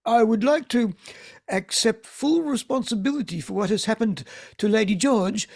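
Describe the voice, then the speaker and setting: A woman playing an earnest, anxious, deferential male chauffeur in a comic stage play, in a gravelly, husky voice. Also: on the high side, animated, normal speed, darkish tone. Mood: solemn.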